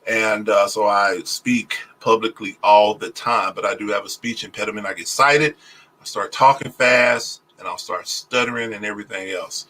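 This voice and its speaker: Bright-voiced man, slow careful talk, many fillers. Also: lowish voice, steady tone, low-quality recording. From Oklahoma, US. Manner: speaks deliberately slowly to avoid stuttering, many "uh" fillers. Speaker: pastor and tax preparer. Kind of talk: casual livestream talk on taxes. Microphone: phone.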